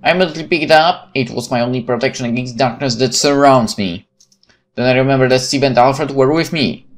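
A man reading a visual novel aloud in a theatrical, expressive manner, with spoken asides. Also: mid-range voice, brisk. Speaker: gaming channel narrator. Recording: music behind the voice.

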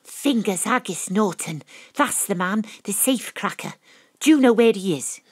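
A woman's voice: medium pitch, animated, normal speed, slightly rough, dry recording, clean studio sound. A woman playing a determined, wry Welsh private detective, acting and narrating in a noir audio drama. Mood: brisk, determined.

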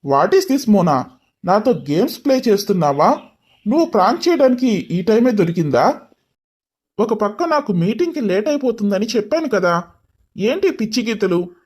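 A man plays a young businessman in an audio story. He is irritable, scolding and quick to taunt. His speech is sprinkled with English words. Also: high voice, sing-song. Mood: irritated. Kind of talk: dramatised story dialogue.

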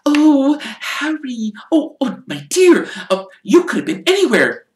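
A man's voice: very high voice, huge pitch swings, unhurried, far from the mic, pitched above the performer's own voice, heavily acted. A man voicing an anxious, fussing, motherly middle-aged woman who frets and scolds, read in an echoey room. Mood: fretful. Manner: fretful, scolding, breathless.

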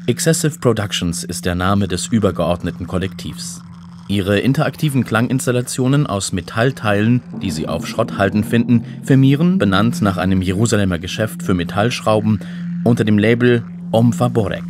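A man's voice: fairly low pitch, moderate pace, background noise. A man narrating a radio documentary from a script. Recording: music under the voice. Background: music.

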